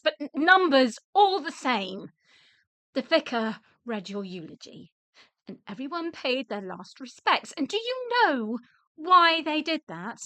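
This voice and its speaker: An actress playing an exasperated, matter-of-fact British widow in a radio play, with a dry, brisk manner. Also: female voice, sits high, pushed and bright, no room sound, full character voice. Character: exasperated, matter-of-fact widow nagged by her dead husband.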